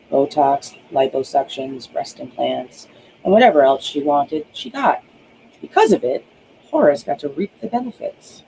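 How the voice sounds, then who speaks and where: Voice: medium pitch, dark tone, slight background noise, poor audio. An author reading their own novel aloud as scripted audiobook narration.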